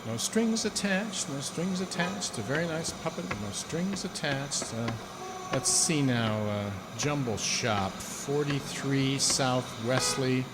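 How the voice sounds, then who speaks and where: An actor playing an evasive, henpecked husband in a radio play, awkward and stammering. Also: male voice, medium pitch, animated, slow, very noisy. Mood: hesitant. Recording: echoey hall, stage-like reverb.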